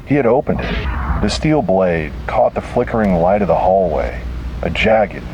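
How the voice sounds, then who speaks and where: Man, very low pitch, grave dramatic horror narrator, scripted first-person storytelling, deliberate pauses, noticeable background hum. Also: animated, muffled recording.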